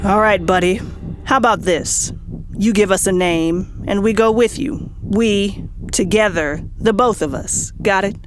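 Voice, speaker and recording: A man's voice: high voice, unhurried, background noise. A man acting a hard-boiled, brooding private eye. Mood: wry, tough. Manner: slangy, terse, brooding tough-guy delivery. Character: hard-boiled wry male private eye, narrating and bantering. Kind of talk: 1940s detective radio drama acting.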